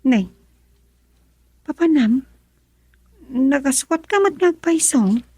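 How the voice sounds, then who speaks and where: A woman's voice: sits high, animated, slow, warm tone, dry recording. A woman acting a hard-up, apologetic farmer's wife and mother in an Ilocano radio drama, plaintive and hesitant. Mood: surprised, curious.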